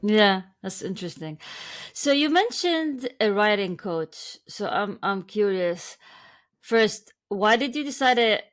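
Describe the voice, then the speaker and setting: A woman's voice: medium pitch, animated, slow pace. Curious woman podcast host. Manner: curious, short acknowledging replies. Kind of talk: casual interview.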